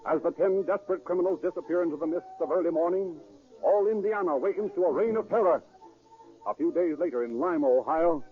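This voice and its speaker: A man announcing and narrating in formal, emphatic 1930s radio style, with a deliberate scripted delivery. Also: a little high, faint music behind. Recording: tinny, narrow-band 1930s radio recording.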